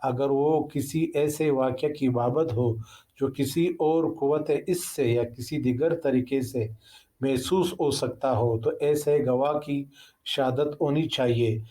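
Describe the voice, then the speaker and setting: Male law lecturer, Pakistani accent, unhurried and soft-edged, reciting statutes formally. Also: mid-range voice, fairly even intonation. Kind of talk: reading legal text aloud.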